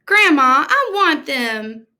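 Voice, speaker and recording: A woman's voice: high-pitched, sing-song, takes it slowly, bright, forceful tone, heavily acted. A woman reading the part of an earnest, pleading young schoolboy in a storybook.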